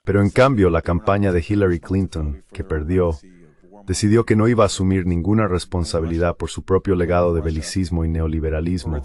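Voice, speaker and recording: A man delivering a dubbed political panel commentary as a voice-over, arguing in a measured, articulate way. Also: deep voice, steady tone, a little mellow.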